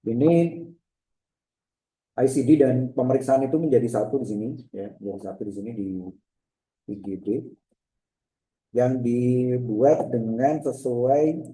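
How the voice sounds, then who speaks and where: Male lecturer, very dark soft-edged tone, slight cough, repetitive step-by-step explaining, roomy echo. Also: mid-range voice, a little slow, long pauses. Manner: repetitive, checking with frequent short tags. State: bit of a cough, clearing throat. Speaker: lecturer teaching a software diagram class. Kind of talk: informal step-by-step screen demonstration lecture. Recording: echoey room sound.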